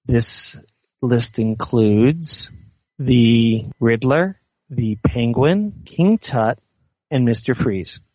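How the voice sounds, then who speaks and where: A man reading out a quiz question in a measured, deliberate way. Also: medium pitch, no echo. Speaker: interview guest, author reading a trivia question. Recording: dull lo-fi recorded call.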